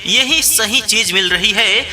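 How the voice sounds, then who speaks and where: A man's voice: high-pitched, slow pace, pushed and bright. A man reading a scripted promotional announcement for a street sales advert, in short, insistent slogans. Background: music.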